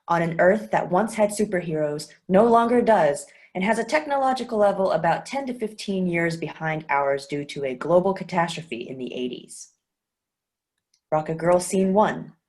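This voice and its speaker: Woman reading out stage directions, lowish voice, steady and clear. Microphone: video call.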